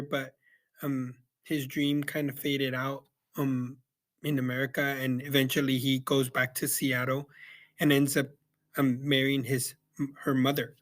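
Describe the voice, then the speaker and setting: A young man from El Salvador giving a casual spoken book review, pausing often with ums and restarting his sentences. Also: mid-range voice. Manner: hesitant, many ums and restarts. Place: Yakima, Washington.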